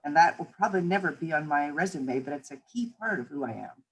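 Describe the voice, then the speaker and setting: Middle-aged Manitoba woman, Low German first language, lowish voice, self-effacing. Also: animated, fast talker, husky, rough recording. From rural Manitoba, Canada. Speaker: panelist.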